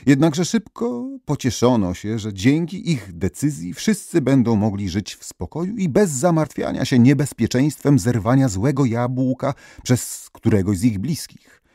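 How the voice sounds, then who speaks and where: Expressive male storyteller with a gravelly edge. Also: medium pitch, measured pace, no echo, studio quality. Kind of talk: scripted storytelling narration of folk tales.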